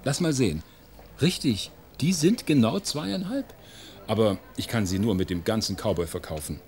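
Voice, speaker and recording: A man's voice: fairly low pitch, animated, moderate pace, darkish tone. A man playing a polite, fussy toy shop salesman in a children's radio play. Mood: businesslike.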